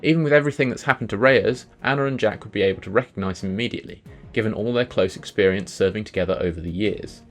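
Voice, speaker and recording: Low-pitched man hosting a video. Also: fast, few pauses, soft background music. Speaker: video host. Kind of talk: scripted video commentary on game lore.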